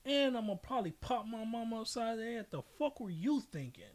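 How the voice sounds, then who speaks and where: A man's voice: extremely high pitch, lively intonation, fairly quick. A man hosting a casual podcast, talking in slangy, emphatic, opinionated style and repeating himself for effect. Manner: emphatic, slangy storytelling with repetition. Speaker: podcast host.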